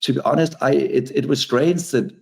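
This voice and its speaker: A man's voice: mid-range voice, fairly even intonation, normal speed, vocal fry, a little mellow. A man in his forties from Denmark with a Danish accent, a guest author speaking in an interview, often hesitating and repeating words as he thinks. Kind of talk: conversational interview.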